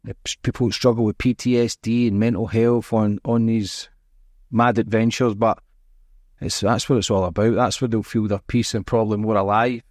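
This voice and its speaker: A man's voice: fairly low pitch, level tone, moderate pace, darkish tone, dry recording. Informal middle-aged man hosting, dry close sound. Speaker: podcast host and interviewer. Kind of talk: casual podcast interview. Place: small indoor room with curtains. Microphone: close podcast microphone on arm.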